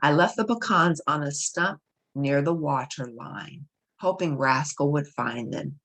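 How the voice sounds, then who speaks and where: A woman's voice: deep voice, a little slow, a bit bright. A woman reading a children's novel aloud as a storybook narrator. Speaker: storybook reader.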